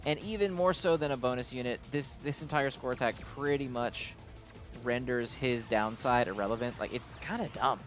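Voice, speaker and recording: A man's voice: medium pitch, normal speed, fairly bright tone. A man giving casual, unscripted game commentary as a channel host, rambling and often hedging his opinions. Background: music.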